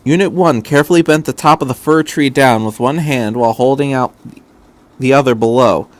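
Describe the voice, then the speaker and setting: A man's voice: medium pitch, fairly quick. A man reading fan fiction aloud as an amateur narrator of a scripted story.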